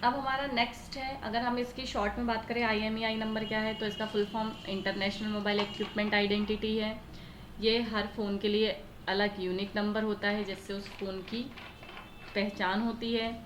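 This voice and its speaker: A woman's voice: mid-range voice, little pitch movement, normal speed. An Indian woman teacher explaining a lesson step by step, often asking questions and answering them herself. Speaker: computer teacher. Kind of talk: explanatory lesson in Hindi mixed with English terms. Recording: echoey room with faint background noise.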